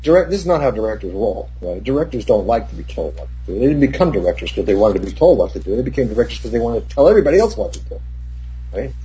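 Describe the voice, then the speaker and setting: An older man, a cinematographer, telling rambling, profane set stories with many restarts, in a husky voice. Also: fairly low pitch, big pitch swings, very fast, dark tone, slight background noise. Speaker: cinematographer guest. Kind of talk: casual anecdote. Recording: dull lo-fi recording.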